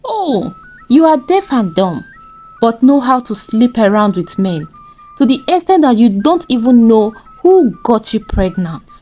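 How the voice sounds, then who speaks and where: A woman's voice: a little high, sing-song, unhurried, mellow, soft background music, voice pushed up. A woman voicing gossiping, judgmental villagers in a folktale. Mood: scornful mockery. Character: gossiping, scornful villagers lamenting and mocking.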